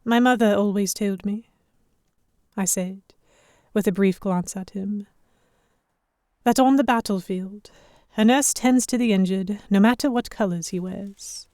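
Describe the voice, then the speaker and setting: A young, observant heroine played by a woman tells a pirate tale in the first person. Her voice is mellow and medium in pitch. The delivery is measured, literary scripted narration for an audio drama. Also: no echo, clean studio sound, lightly acted. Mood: reflective. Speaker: narrator and lead actor.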